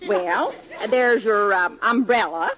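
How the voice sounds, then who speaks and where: An actress playing a loyal, good-humoured, drily complicit household maid in a 1940s radio sitcom. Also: female voice, medium pitch, slow, crowd noise, close to an everyday voice. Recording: dull, noisy 1940s radio recording.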